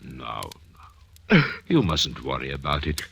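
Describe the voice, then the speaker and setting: An actor playing a calculating, sly male prisoner who speaks smoothly and with menacing calm, and who also narrates, in 1940s radio acting. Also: very deep voice, a little slow, heavily acted. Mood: soothing.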